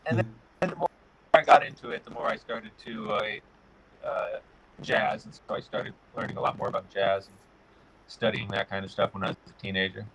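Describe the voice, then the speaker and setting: A middle-aged man from Connecticut answering interview questions in a relaxed way, pausing often with fillers. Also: fairly low pitch, gravelly, noisy background. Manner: relaxed, pauses with many "uh" fillers. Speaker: guest, guitar builder.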